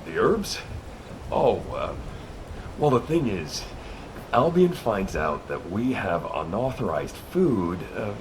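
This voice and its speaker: An actor playing a nervous, doubtful soldier in a video game, speaking hesitantly. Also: male voice, lowish voice, very animated, takes it slowly, full character voice. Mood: uneasy. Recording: noisy ambience behind.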